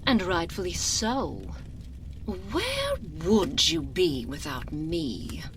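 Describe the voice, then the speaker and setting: An imperious aristocratic vampire countess, seductive and sinister in an intimate ASMR roleplay. Her voice is fairly low for a woman and swoops expressively, with velvety purrs that trail into breathy whispers. She speaks extremely slowly and haughtily, savoring every phrase. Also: very animated, a bit bright, a little hiss or hum, exaggerated voice. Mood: condescending, possessive. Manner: haughty, velvety, drawn-out purring delivery with breathy whispered endings. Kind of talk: binaural ASMR roleplay, theatrical character acting. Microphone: close binaural microphone.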